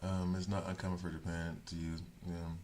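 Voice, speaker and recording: A man's voice: low voice, monotone, brisk, darkish tone, slight background noise. A man streaming a game and chatting casually, rambling, restarting sentences and correcting himself as he thinks aloud. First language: English. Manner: talks things through as he thinks. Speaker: gaming streamer.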